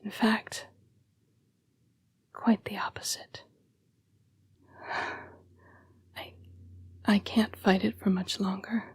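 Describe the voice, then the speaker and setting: A woman voicing an apologetic, protective yet predatory female vampire fighting her bloodlust in an intimate audio roleplay, with hushed, formal, halting speech. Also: mid-range voice, level tone, heavily acted. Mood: tempted, apologetic. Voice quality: breathy, whispery. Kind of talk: intimate scripted audio roleplay addressed to the listener.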